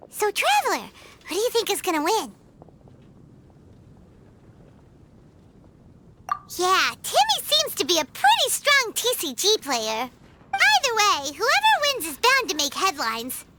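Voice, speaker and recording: A woman voicing a tiny childlike fairy companion in a video game, chirpy, nosy and exclamatory. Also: full character voice. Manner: squeaky, exclamatory, talks about self in third person. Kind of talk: video game cutscene acting.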